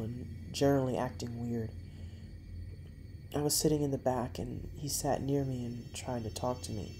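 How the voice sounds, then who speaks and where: A narrator reading a first-person true story in a measured way, pausing between short phrases. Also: mid-range voice, warm tone, poor audio.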